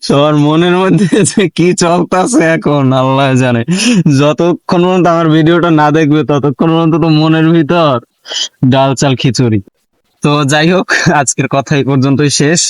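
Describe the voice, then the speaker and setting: A man's voice: on the high side, moderate pace, clean tone, no room sound. A man with a Bangladeshi accent chatting casually as a prank call host, teasing and pressing with questions. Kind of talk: casual teasing phone chat in colloquial Bengali.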